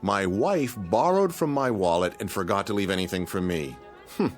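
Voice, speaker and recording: A man's voice: low-pitched, expressive, normal speed, faint music behind. An actor playing a pompous, penny-pinching man with overly polite, formal speech in a radio drama. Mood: flustered, smooth-talking. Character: fussy, pompous, penny-pinching male freeloader.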